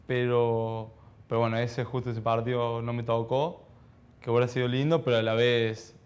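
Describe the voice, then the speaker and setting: Hesitant young Argentine man, smooth and even. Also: medium pitch. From Argentina, childhood in Ecuador. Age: around 20. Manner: modest, hesitant, halting. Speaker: professional footballer being interviewed. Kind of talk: casual interview answers.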